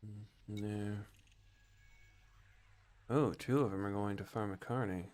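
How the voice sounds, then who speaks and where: Man, lowish voice, game streamer, casual commentary, musing, thinking out loud. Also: fairly even intonation, slow pace. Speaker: live streamer playing a space game.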